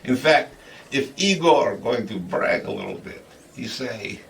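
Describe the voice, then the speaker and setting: A man's voice: mid-range voice, unhurried, creaky, far from the mic, slight background noise, heavily acted. A man playing a jolly, crude comedy host who tells stories in chuckling broken English. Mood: boisterous, boastful. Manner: broken grammar, chuckling, animated storytelling. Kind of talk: comic monologue in character.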